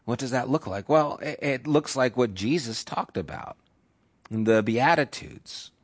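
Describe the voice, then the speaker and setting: A man's voice: mid-range voice, animated, measured pace. A man, probably a young adult, giving a sermon in a conversational, emphatic way, with lots of repetition and fillers like "you know". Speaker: pastor. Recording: live church service, audio only.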